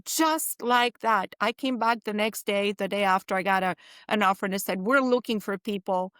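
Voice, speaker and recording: A woman of around sixty with a French accent, a native Spanish speaker raised in Spain and Portugal, telling stories as an interview guest in a lively, chatty way. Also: medium pitch, moderate pace, no echo, clean studio sound. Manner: lively, chatty, acts out other people's lines. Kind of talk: casual storytelling in an interview.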